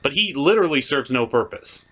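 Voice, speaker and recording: Animated man giving sarcastic recap. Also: medium pitch, a little fast. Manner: casual, sarcastic. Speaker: reviewer and narrator. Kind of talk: comic movie recap with puppets.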